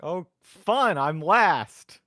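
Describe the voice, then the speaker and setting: A man's voice: sits high, lively intonation, drawn-out words, bright, forceful tone, dry recording, studio quality. A man chatting casually as a player in a tabletop roleplaying game, with a wry, sarcastic way of talking.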